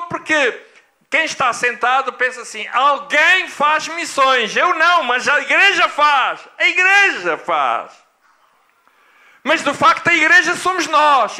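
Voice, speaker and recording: A middle-aged man from Angola with a European Portuguese accent, preaching a sermon as a guest pastor. He speaks slowly and deliberately, repeats key phrases, and builds to emphatic peaks. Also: very high voice, sharp tone. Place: church hall.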